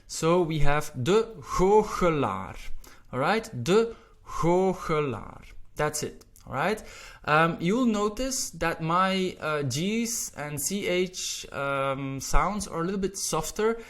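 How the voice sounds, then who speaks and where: A Belgian man with a Flemish accent, a Dutch speaker teaching pronunciation in English, patient and repeating each word slowly. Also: fairly high pitch, lively intonation, a bit bright. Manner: patient, repeats words slowly, says "all right" often. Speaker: language teacher. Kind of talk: pronunciation lesson.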